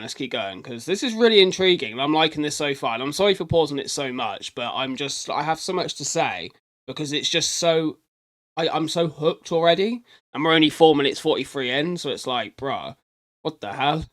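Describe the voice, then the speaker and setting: A man's voice: mid-range voice, a bit bright. Male reaction host, casual and chatty, rambling commentary, mid-range voice, speaks directly to viewers.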